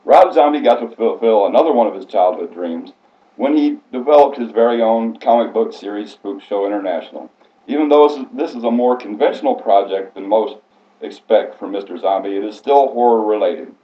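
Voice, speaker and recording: A man's voice: on the low side, a little fast. A man reading a prepared biographical speech aloud in a formal, scripted way, stumbling now and then. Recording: echoey room, slightly muffled sound.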